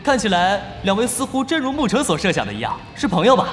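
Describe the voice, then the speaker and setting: A man's voice: high voice, wildly swooping pitch, fast talker, fairly bright tone, heavily acted. An actor playing an upbeat young male esports event host, announcing to a hall in an audio drama. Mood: upbeat, showy. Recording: echoey hall announcer sound, music underneath. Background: music.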